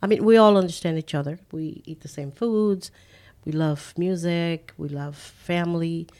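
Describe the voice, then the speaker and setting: An older woman from the Caribbean, a guest in an interview, speaking unhurriedly and halting with fillers. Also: on the low side, a little mellow. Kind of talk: casual interview chat.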